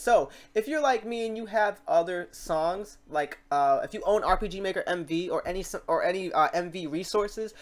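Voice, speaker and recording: Chatty woman giving a software tutorial. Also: mid-range voice. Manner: chatty, rambling, self-correcting. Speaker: tutorial host. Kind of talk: informal screen-recorded software tutorial.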